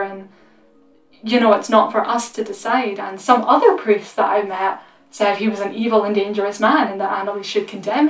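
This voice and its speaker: A woman in her twenties telling a story casually as a vlog host. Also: medium pitch. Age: 20s. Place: small room at home with costumes. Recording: roomy echo, distant microphone, music underneath.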